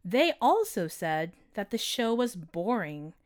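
A man voicing a calm, wise female owl who asks soft, probing questions. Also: high voice, slow, pitched above the performer's own voice, exaggerated voice. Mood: teasing.

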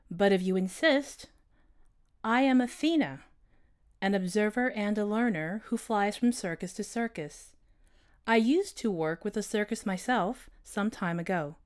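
A man voicing a calm, wise female owl who asks soft, probing questions. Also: sits high, a little slow, clean tone, voice pushed up. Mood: teasing.

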